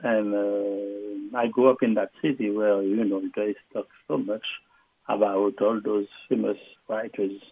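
A man from Haiti, a historian answering interview questions in a halting way with many fillers and restarts. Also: fairly low pitch, level tone, creaky, slightly rough. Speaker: guest, historian and literature professor. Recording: phone line.

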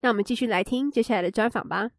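Female, Taiwanese accent, explanatory host, instructive, fairly high pitch, dry recording. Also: rapid-fire, no echo. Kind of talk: explanatory narration for language learners.

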